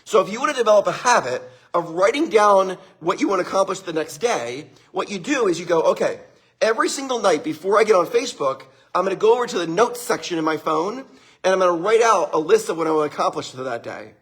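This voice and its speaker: A middle-aged man with a husky voice giving an upbeat motivational talk, greeting viewers by name and laughing often. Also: sits high, animated, fast. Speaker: motivational speaker. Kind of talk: live-streamed motivational talk.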